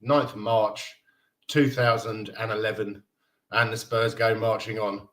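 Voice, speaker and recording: A man's voice: fairly low pitch, steady tone, measured pace, fairly bright tone. A man from Denmark in his thirties hosting a casual fan live stream, chatting loosely and reading viewer comments aloud with frequent laughs. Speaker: fan livestream host.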